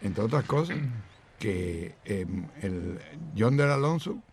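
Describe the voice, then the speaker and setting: A man's voice: fairly low pitch, lively intonation, slow, a little mellow, slight background noise. A man co-hosting a sports radio show, chatting casually with jokes and rambling anecdotes.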